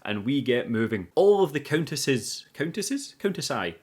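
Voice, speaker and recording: A young man talks through a film in a casual, comedic recap. His voice sits at an average pitch for a man. He is irreverent and chatty, swearing freely and dropping cheeky asides as he goes. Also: sing-song, unhurried, noisy background. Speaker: host of a film review channel.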